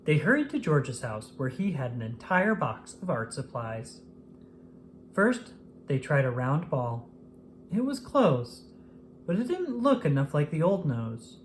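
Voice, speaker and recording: A woman narrating a children's picture book aloud in an expressive manner, paced for young listeners. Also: sits low, a little slow, a little hiss or hum. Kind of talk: scripted storybook narration.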